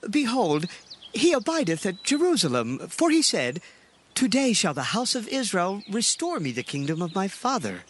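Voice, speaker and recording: A man's voice: sits high, huge pitch swings, normal speed, fairly bright tone, a little hiss or hum, exaggerated voice. A man playing a humble servant, deferential and ingratiating to his king, in a dramatized scripture reading. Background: faint music.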